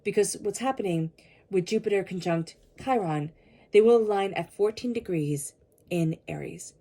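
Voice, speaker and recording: A woman with a cold giving a tarot reading, speaking earnestly and slowly repeating her invocations. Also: medium pitch, warm tone. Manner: soothing guided meditation. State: has a cold. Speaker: tarot reader.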